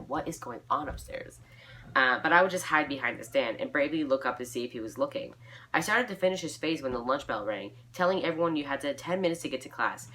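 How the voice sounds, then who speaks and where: A young woman with a very deep, bright voice reads a story aloud in an informal way. She is giggly and self-mocking, slipping out of the reading into casual asides. There is faint noise behind her. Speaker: vlogger reading own fan fiction aloud.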